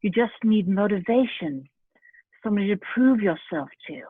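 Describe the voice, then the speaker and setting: A woman reading the part of an earnest, injured middle-aged stepfather in an amateur table read, with a husky voice. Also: mid-range voice, lively intonation, moderate pace, dry recording, phone-quality sound. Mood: earnest, confiding.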